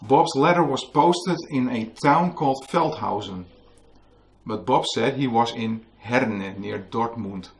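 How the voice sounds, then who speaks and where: A man from the Netherlands with a Dutch accent, telling a story to an audience at a book talk and often pausing to search for English words. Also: medium pitch, gravelly. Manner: hesitant, searching for English words, many fillers. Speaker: author giving a book talk. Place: museum hall with a live audience.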